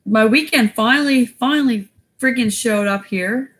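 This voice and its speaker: A woman with a raspy voice chatting casually on a livestream, rambling with many fillers. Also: on the high side, normal speed, a bit bright. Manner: rambling, loose, lots of fillers. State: winded after exercising. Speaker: YouTuber going live.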